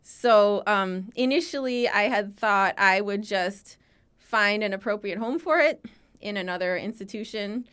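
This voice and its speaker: A woman's voice: medium pitch, measured pace, a bit bright, smooth. A woman in her forties from Chicago, telling her story as an interview guest and voicing the people she quotes. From Chicago, USA. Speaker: guest, archives founder.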